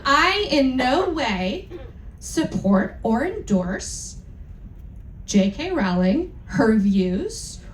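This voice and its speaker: A woman hosting a live event, giving an informal, candid and irreverent introduction to an audience. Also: on the high side. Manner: candid, irreverent, casually sweary. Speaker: event host introducing a dramatic reading. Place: indoor event room on a cruise. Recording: roomy live event sound with noticeable noise.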